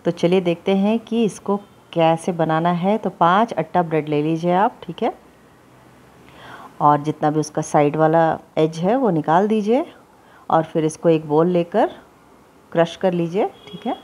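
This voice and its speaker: Woman, cooking channel host, lower pitch, casual recipe voice-over, chatty. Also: measured pace, darkish tone. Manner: chatty, repeats "theek hai" often. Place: home kitchen, voice-over.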